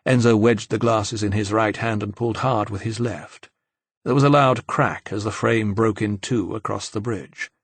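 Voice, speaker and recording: A man's voice: on the low side, steady tone, moderate pace, husky, no echo. Male audiobook narrator, very dry recording. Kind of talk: scripted fiction narration.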